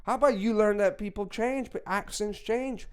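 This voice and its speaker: A man's voice: high-pitched, normal speed, clear, resonant tone. Sweary Romanian-born man with mixed accent. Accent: mix of Eastern European, London and a little Scottish. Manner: heated, sweary ranting. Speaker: podcast co-host. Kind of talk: casual comedy podcast chat.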